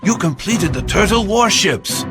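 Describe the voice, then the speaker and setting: A grave, animated naval commander. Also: male voice, high voice, measured pace, music in the background. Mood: stirred, triumphant. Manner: solemn, oratorical delivery. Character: grave, commanding Korean admiral of wartime fleet. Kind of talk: dramatic video game cutscene acting.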